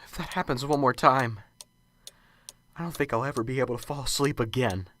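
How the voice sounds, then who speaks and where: A man's voice: medium pitch, a little fast, noisy background, lightly acted. A man playing a jittery, stammering professor. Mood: anxious. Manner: anxious, stammering, talks to himself. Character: nervous, unsure male professor. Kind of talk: student radio drama.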